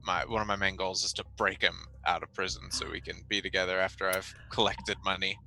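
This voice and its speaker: Male player, low gravelly voice with a sharp edge, rambling and jokey. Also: level tone, brisk, rough recording. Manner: jokey, rambling, laughs often. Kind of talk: casual chat while building a character.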